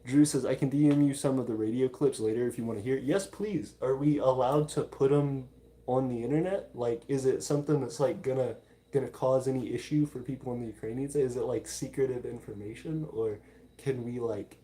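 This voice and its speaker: A man hosting a livestream in a casual, informal chat style, talking haltingly as he reads out and answers viewer comments. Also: medium pitch, dark tone. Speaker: livestream host.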